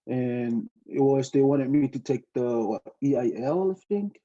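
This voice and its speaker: A young man from Tonga tells his story in English, his first language being Tongan. He talks haltingly, with plenty of fillers and laughs. His voice is very dark and mellow, and the recording is dull and lo-fi. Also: mid-range voice, animated. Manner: hesitant, many fillers, laughs often. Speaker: podcast guest, student pilot. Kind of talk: casual interview storytelling.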